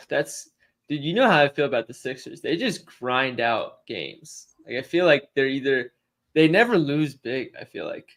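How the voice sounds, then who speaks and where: A young man co-hosting a casual sports betting livestream, chatty and quick to laugh while he answers questions from the chat. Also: mid-range voice, big pitch swings, a bit bright. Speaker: sports betting stream co-host.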